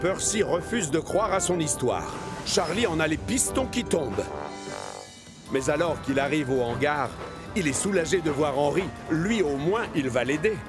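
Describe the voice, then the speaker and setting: A man tells a scripted children's story in a somewhat high voice. He is expressive and speaks to young viewers, with music clearly audible behind him. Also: normal speed. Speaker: narrator.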